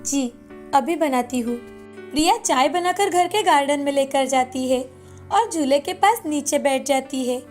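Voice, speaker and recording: High-pitched woman storyteller over music. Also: moderate pace. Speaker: narrator of a moral story. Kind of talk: scripted storytelling narration for a children's cartoon. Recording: music bed behind the voice.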